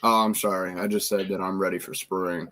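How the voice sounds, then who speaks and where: A man's voice: sits low, flat delivery, brisk, a bit bright. A man from North Carolina, a guest on a livestream, chatting in a friendly way. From Raleigh, North Carolina. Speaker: stream guest. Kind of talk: casual chat.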